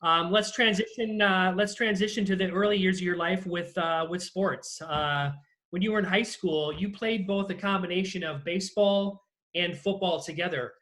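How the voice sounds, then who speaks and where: A man's voice: high-pitched, steady tone, moderate pace, sharp tone, poor audio. An American man hosting a casual interview over a video call, putting questions to his guest in a conversational way. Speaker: interview host.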